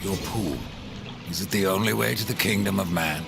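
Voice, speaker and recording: Sardonic apocalyptic horseman, gravelly rasp, dry curt delivery, video game cinematic, clear background music. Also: low voice, moderate pace. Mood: grim, pressing. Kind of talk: video game cutscene acting. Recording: music behind the voice.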